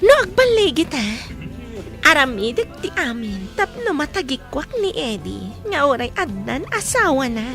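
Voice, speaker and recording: A woman plays a flirty, scheming waitress in a radio comedy drama. Her voice is extremely high and swings widely in pitch, over prominent music. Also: big pitch swings, normal speed, a bit bright, exaggerated voice. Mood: scheming, animated.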